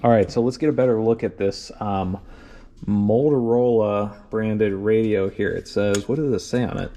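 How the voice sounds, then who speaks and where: A man's voice: on the low side, steady tone, moderate pace, mellow. A man narrating a hands-on vlog in an informal way, thinking aloud as he examines things. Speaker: car owner and vlogger.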